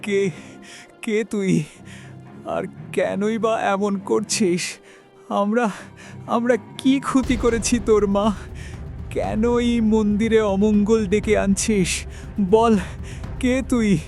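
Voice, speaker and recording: A man's voice: high voice, steady tone, takes it slowly, vocal fry, long pauses, a little mellow, faint music behind, exaggerated voice. A man playing a devout, frightened village temple priest in an audio horror story, pleading and theatrical. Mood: fearful, demanding.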